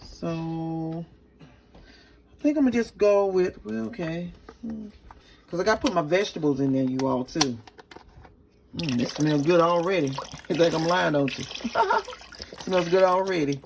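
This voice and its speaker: A woman with a raspy voice, chatting casually to her viewers in a home kitchen cooking vlog. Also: on the low side, animated, measured pace, pauses often, darkish tone, slight background noise, rough recording. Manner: chatty, talks straight to viewers. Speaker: cooking vlogger.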